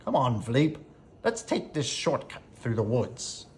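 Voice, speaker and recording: A man's voice: medium pitch, big pitch swings, a little slow, a little mellow. A man voicing an absent-minded, easily frightened old inventor in a children's storybook reading. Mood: flustered. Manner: exclaiming, flustered.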